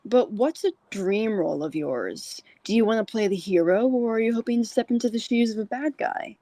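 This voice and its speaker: A woman's voice: a little high, expressive, fairly quick, dark tone. Lady interviewer chatting casually, kinda hesitant and rambly, no echo at all. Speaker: podcast host, interviewer.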